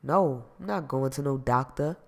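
A man reading an audiobook, voicing a jealous, possessive ex-boyfriend who accuses and shouts. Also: mid-range voice, normal speed, vocal fry, lightly acted. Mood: sulky. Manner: loud, accusing, streetwise.

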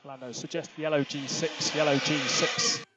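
A man's voice: on the high side, little pitch movement, moderate pace. A man working as a race engineer, giving clipped, procedural instructions to a driver over a team radio link. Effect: team radio filter. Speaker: Formula One race engineer. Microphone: radio headset. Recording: noisy motorsport radio link.

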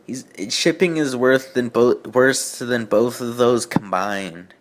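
Male host, unhurried and exasperated, rambling sarcastic commentary on amateur writing, self-deprecating, mid-pitched voice. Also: animated. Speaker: host of a bad fan fiction reading show.

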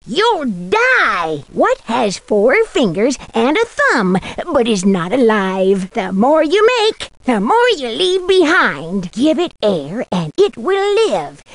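A feisty old woman from a detective game. Her voice is very high and swoops up and down theatrically. She comes across as quirky and a little muddled. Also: no echo, heavily acted. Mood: riddling. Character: eccentric, feisty, muddled old lady prop keeper. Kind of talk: video game character dialogue.

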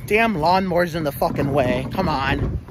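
A young man chatting casually and excitably, swearing freely, into a phone at arm's length while vlogging outside. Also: a little high, moderate pace. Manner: excitable, profanity-laden. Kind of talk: casual self-filmed vlog chat. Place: outdoors on a suburban street. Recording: outdoor street noise, passing vehicles.

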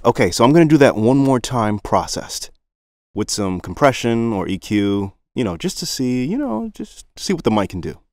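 A man's voice: mid-range voice, sing-song, moderate pace, darkish tone, dry recording, clean studio sound. A man with a slightly groggy morning voice, talking casually to viewers as he reviews a microphone. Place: home studio. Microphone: budget condenser microphone through audio interface.

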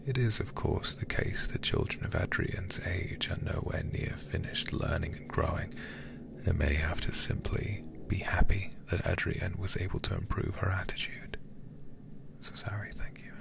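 A man streaming a game as ASMR, reading aloud and chatting softly in an intimate, soothing, breathy way, close to the microphone. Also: sits low. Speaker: livestreamer playing horror games.

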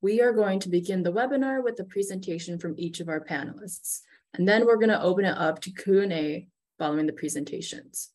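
A woman's voice: mid-range voice, a little fast. A woman co-hosting a webinar over a video call, steadily reading sponsor thanks and panelist introductions. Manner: steady scripted read. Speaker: webinar co-host.

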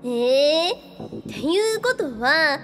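A woman plays a glamorous lady ghost with an extremely high, swooping voice. She is coy and flirtatious, teasing and flattering in radio-drama fashion, over faint music. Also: takes it slowly, full character voice. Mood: curious flattering.

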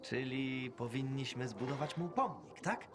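An actor playing a flirtatious, vain, worldly schemer in a post-apocalyptic video game, smooth-talking, teasing and theatrical. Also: male voice, medium pitch, a little slow, over music, close to an everyday voice. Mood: probing, sardonic. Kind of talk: video game cutscene acting.

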